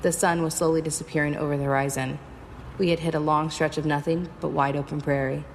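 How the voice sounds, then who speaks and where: A woman's voice: deep voice, little pitch movement, quick pace, slight background noise, rough recording. An actress playing a resourceful, stubborn woman survivor in an audio drama, narrating and speaking in a terse, matter-of-fact way. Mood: narrating, subdued. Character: resourceful, stubborn female survivor traveling west. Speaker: lead actor and first-person narrator. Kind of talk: audio drama acting with first-person narration.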